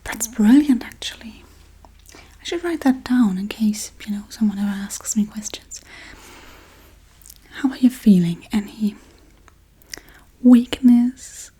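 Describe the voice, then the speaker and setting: A woman playing a quirky, politely menacing Halloween witch in a breathy, soft-spoken ASMR role-play. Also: on the high side, exaggerated voice, low-quality recording. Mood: curious, chatty. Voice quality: breathy, mostly whispered. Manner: unhurried, chatty, politely menacing. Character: quirky Halloween witch casually preparing a human sacrifice.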